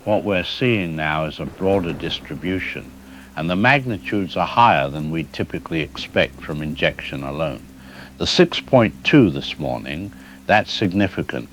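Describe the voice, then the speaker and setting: An actor playing a calm, middle-aged seismologist who explains things carefully and hedges his words, as a guest in a news interview drama. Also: low voice, normal speed, slight background noise. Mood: measured. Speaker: expert guest.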